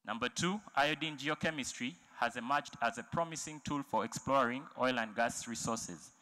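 Man, bright tone, conference talk, halting delivery, many fillers. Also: medium pitch, low-quality recording. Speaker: conference presenter. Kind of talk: technical presentation. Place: large conference hall.

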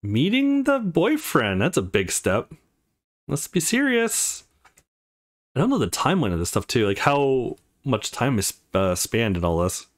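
A man hosts a let's play in a mid-pitched voice. His intonation swings widely as he chats casually through the game. The delivery is laid-back, full of offhand asides. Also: huge pitch swings, unhurried. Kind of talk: casual gameplay commentary, reading dialogue aloud.

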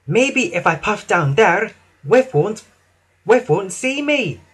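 A man's voice: high-pitched, very animated, takes it slowly, a bit bright, breathy edge, pitched above the performer's own voice, exaggerated voice. A man voicing an earnest, proud and fretful female steam engine in a children's storybook. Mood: anxious.

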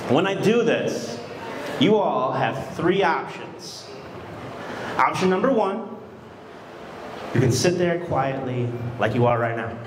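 A man's voice: mid-range voice, lively intonation, slow, pauses often, noisy background. A man in his thirties working as a wedding DJ and emcee, talking in an informal, upbeat way. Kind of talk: casual vlog talk and event announcing. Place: wedding venue and outdoor parking lot.

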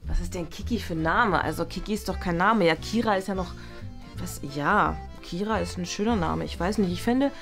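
A young woman playing a grumbling, self-assured teenage eldest daughter in a family comedy skit, exclamatory and halting. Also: fairly low pitch, fairly bright tone, faint music behind. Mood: skeptical, indignant. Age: young adult.

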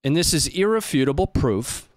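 A man's voice: fairly high pitch, lively intonation, normal speed, no room sound. A man in his thirties giving casual live commentary on footage as a stream host, speaking into a close desk microphone. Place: small indoor studio. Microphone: close desk microphone on boom arm.

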